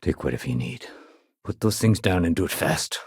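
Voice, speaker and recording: A man voicing a gruff, no-nonsense police sergeant in a video game, brusque, authoritative and plain-spoken. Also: low voice, expressive, brisk, no echo, studio quality, full character voice. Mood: urgent, commanding.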